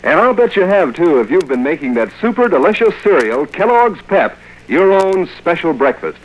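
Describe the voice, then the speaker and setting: An American man announcing and reading a chummy scripted sales pitch in 1940s radio style. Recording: dull, band-limited old radio show record.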